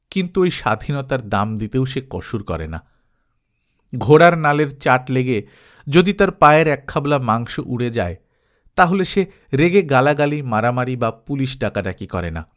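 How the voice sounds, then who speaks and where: Man narrating with lively, swooping intonation. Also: mid-range voice. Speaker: audiobook narrator. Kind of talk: expressive literary storytelling, reading a humorous travelogue.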